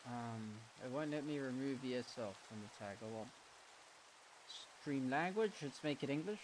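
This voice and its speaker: A man's voice: medium pitch, expressive, moderate pace, background noise. A man live-streaming from his room, sniffly, muttering casually to himself as he sets things up, with slangy, offhand talk. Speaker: livestreamer. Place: home room.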